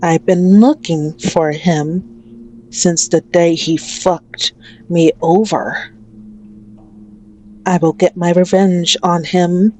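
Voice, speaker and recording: A woman's voice: fairly low pitch, fairly even intonation, warm tone, a little hiss or hum. A woman playing a menacing, dominant mafia boss in an ASMR roleplay, mocking and condescending toward a hostage as she speaks. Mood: cold, vengeful. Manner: slow, mocking, condescending, possessive.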